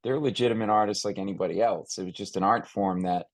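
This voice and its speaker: Man, interview host, fairly deep voice, casual, thinking aloud, dry studio sound. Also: fast, no room sound. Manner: rambling, thinking aloud as he asks. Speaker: podcast host interviewing a guest.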